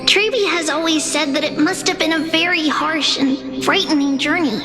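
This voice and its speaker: A woman's voice: sits high, moderate pace, lightly acted. A woman voicing a cheerful, tender, childlike little girl spirit in a video game, who talks about herself in the third person. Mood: tender, comforting. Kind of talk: video game story voice acting. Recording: loud music under voice.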